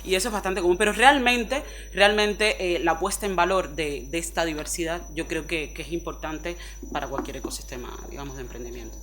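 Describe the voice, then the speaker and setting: A woman's voice: on the low side, fairly quick, slight background noise. Cuban woman, chatty panel speaker. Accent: Cuban Spanish. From Cuba. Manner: expressive, chatty, frequent tag questions. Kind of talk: panel discussion talk. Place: forum panel on stage.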